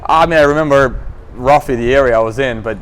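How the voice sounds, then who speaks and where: A man in his thirties from Romania speaks as a guest in a casual interview. His pitch is average and his manner easygoing and agreeable. Faint outdoor urban noise runs behind him. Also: fast, fairly bright tone, low-quality recording. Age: 30s. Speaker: interview guest, professional pickleball player. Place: outdoors at a tournament venue.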